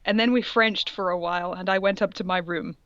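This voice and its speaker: A woman's voice: on the low side, fairly even intonation, brisk, fairly bright tone, clear, resonant tone, dry recording. Playful woman on a dull, close mic. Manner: playful, mocking, puts on voices for quoted dialogue. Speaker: co-host reading fan fiction aloud. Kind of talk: casual reaction read-aloud with joking commentary.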